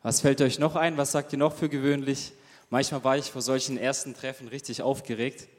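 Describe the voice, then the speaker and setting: A man's voice: medium pitch, little pitch movement, a little fast. Chatty young man in a reverberant hall. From Germany. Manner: casual, chatty, many fillers and rhetorical questions. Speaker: youth group leader. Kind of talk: interactive talk to a youth group.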